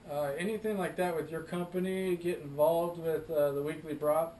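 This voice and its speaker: A man's voice: on the high side, fairly even intonation, normal speed, warm tone, far from the mic. An American man co-hosting a show, laid-back and easygoing. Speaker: co-host. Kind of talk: casual chat. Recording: roomy echo, off-mic, faint noise.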